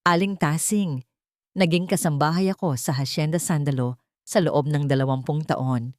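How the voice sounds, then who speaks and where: Low-voiced, bitter elderly housemaid, voiced by a woman. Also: normal speed, dry recording, clean studio sound, natural voice. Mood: guarded. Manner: hushed, confiding. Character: bitter, secretive elderly former housemaid in her sixties. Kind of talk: audio storybook character dialogue.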